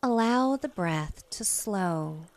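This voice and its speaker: Slow, soothing woman guiding relaxation. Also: medium pitch, lively intonation. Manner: soothing, gentle, unhurried with long pauses. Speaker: hypnotist and host of a guided relaxation. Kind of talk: guided relaxation and hypnosis narration.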